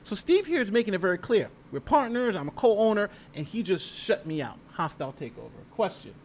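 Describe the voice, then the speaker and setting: A man's voice: high voice, very animated, a little fast, darkish tone, slight background noise. An American man hosting a casual legal commentary stream, reading aloud and talking through it conversationally with rhetorical asides. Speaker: YouTube host and lawyer reading a lawsuit.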